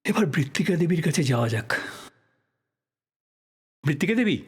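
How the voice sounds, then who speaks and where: A man playing a thoughtful, polite writer probing a death in an audio drama, speaking in a measured, reflective way. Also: fairly high pitch, sing-song, a little mellow, breathy edge, heavily acted. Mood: apologetic, musing. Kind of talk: Bengali audio drama acting.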